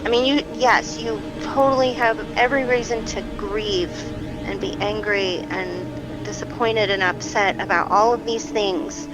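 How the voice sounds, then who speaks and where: A woman playing a gentle, reassuring counselor in a naturalistic fiction podcast, soothing and encouraging in a conversational way. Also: medium pitch, steady tone, measured pace, over loud music, close to an everyday voice, poor audio. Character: gentle, reassuring female counselor on a check-in call. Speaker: therapist or medical counselor.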